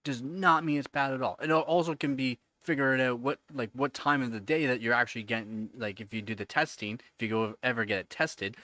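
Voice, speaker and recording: A man in his thirties hosts a casual livestream, answering questions in loose, rambling talk. He repeats words and leans hard on "like" as he goes. His voice sits at an ordinary pitch and sounds dry, with no room around it. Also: fairly even intonation, brisk, few pauses, pushed and bright, dry recording. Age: 30s. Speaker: livestream host. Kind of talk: casual live-stream chat answering viewers.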